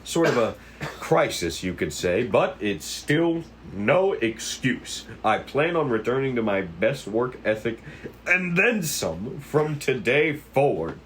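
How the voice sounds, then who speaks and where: A man's voice: mid-range voice, sing-song, slow pace, a bit bright, slight background noise. A man playing a polite, awkward, apologetic older detective in an amateur table read, rambling courteously.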